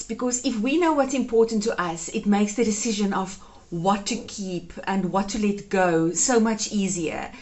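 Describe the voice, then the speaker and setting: A woman in her thirties whose first language is Afrikaans, chatting her way through a home decluttering vlog and gushing over keepsakes. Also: mid-range voice. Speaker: home organizing vlogger.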